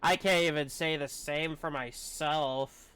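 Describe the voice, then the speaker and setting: A man with a fairly high, very smooth and clear voice talks casually on a game livestream. He sounds hesitant and confiding, speaking candidly and putting himself down as he goes. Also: steady tone, unhurried, bright, forceful tone. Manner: hesitant, self-deprecating, confiding. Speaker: game streamer.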